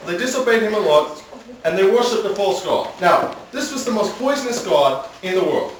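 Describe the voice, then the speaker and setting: A man's voice: sits high, animated, moderate pace. A man narrating a church skit in a playful, chuckling way. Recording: echoey room, far mic, background noise.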